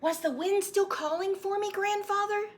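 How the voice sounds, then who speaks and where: Woman voicing an eager, high-pitched little storybook boy. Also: normal speed. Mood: eager, curious. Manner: pleading, insistent questions. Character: eager young boy, earnest and questioning. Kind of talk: storybook read-aloud.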